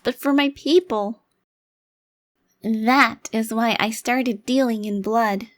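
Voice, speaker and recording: A woman performs a centuries-old vampire crime lord who still looks like a child. The voice is fairly high and the delivery slow, formal and deliberate. It carries a haughty authority in the style of an ASMR roleplay monologue. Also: fairly bright tone. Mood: defiant, pleading.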